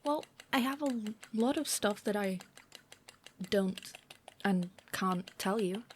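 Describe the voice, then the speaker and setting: Flirty female roommate, played by a woman, ASMR roleplay. Unhurried, mid-pitched, teasing and a little hesitant. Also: animated, slight background noise. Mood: playful teasing.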